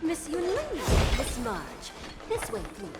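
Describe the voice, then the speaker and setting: A woman's voice: high-pitched, wildly swooping pitch, takes it slowly, full character voice. An actress playing a courteous, composed female official in a video game, speaking politely and formally with a lilt. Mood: welcoming. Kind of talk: scripted video game voice acting. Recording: echoey hall reverb, game music behind.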